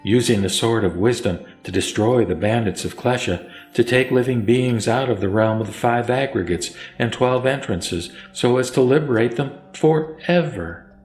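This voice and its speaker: A man narrating a Buddhist scripture as a scripted recitation, in a solemn, formal and measured manner. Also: mid-range voice, a little mellow. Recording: echoey voice over faint music. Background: faint music.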